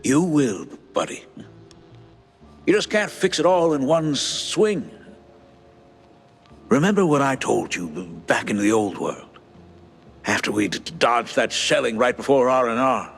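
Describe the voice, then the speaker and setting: A man voicing an earnest, idealistic mouse in a cartoon game, an old war buddy with a heavy, halting stutter and a warm-hearted manner. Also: medium pitch, big pitch swings, gravelly, breathy edge, faint music behind, heavily acted. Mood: encouraging.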